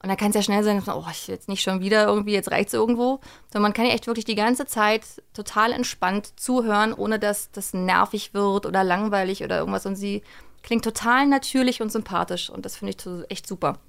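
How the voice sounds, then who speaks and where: A woman's voice: medium pitch, a little fast, creaky, clean tone. A woman chatting casually and informally as an interview guest, talking like someone who works in voice acting. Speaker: interview guest, voice actor and audio drama director.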